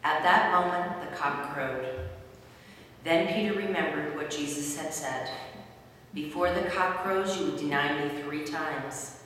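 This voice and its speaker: A woman's voice: low-pitched, a little slow, a bit bright. A woman narrating a scripted dramatic Bible reading in a formal storytelling manner. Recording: distant, very echoey hall, faint noise.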